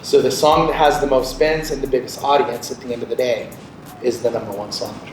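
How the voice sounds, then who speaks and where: A man's voice: medium pitch, little pitch movement, brisk. A man in his late thirties giving an informal instructional talk to a group, explaining conversationally and checking in with short questions. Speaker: radio programmer, guest speaker. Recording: echoey room, distant mic, loud background noise.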